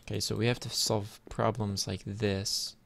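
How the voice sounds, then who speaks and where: Male gaming host, lowish pitch, informal think-aloud commentary, noisy home-style recording with clicking keys. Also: little pitch movement, a little slow, a bit bright. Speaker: host of a gaming video series. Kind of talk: casual gameplay commentary. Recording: clear background noise, keyboard clicks. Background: computer keyboard and clicking.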